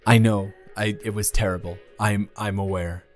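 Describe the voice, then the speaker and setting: A nervous, earnest teenage boy in love, acted by a man, speaks in a fairly low voice. He stammers and rambles, halting and self-deprecating, as in an intimate romantic audio play. Music plays behind him. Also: steady tone, lightly acted. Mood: flustered, self-mocking.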